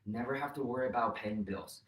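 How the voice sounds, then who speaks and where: A man's voice: mid-range voice, a little fast, fairly bright tone. A man in his thirties whose first language is Mandarin, hosting a motivational talk and earnestly encouraging his viewers. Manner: earnest, encouraging, addresses viewers as "you guys". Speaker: host, real estate educator. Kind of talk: motivational vlog talk to camera. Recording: roomy echo, mic at a distance.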